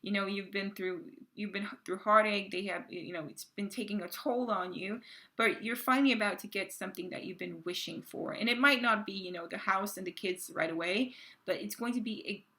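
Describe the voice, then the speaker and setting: Gravelly woman reading tarot cards. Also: mid-range voice, bright, forceful tone. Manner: chatty, halting, many fillers and restarts. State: slightly raspy from a cold. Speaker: tarot card reader. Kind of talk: casual tarot reading to camera.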